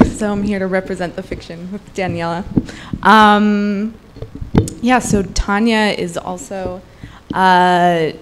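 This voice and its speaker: A woman with a fairly low voice talks informally to introduce a reading at a live event. She is casual and hesitant, thinking aloud as she goes. There is faint noise behind her. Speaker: translator presenting at a literary event.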